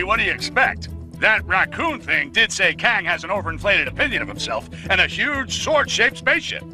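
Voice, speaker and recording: Sarcastic bright-voiced male narrator. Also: high-pitched, low-quality recording. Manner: sarcastic, chatty commentary. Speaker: narrator recapping a game. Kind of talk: comic narration.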